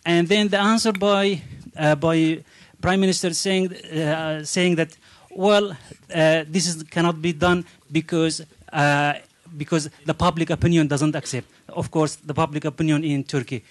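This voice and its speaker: High, halting man asking questions. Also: steady tone, no room sound. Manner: halting, many "uh" pauses. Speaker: audience questioner. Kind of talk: questions at a panel Q&A.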